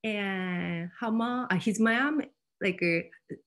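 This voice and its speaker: A woman with a Japanese accent gives an informal presentation in halting non-native English, often saying "like" as she searches for words. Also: mid-range voice, a bit bright. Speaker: fab lab presenter. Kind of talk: informal presentation at an online meetup. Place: online video meeting.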